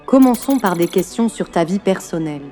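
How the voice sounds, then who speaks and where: A woman's voice: on the low side, animated, fairly quick, warm tone, soft background music, lightly acted. A synthetic woman's voice playing a cold female intelligence-agency AI interrogator, formal and commanding, with a slight British accent. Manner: formal, clipped, commanding announcements.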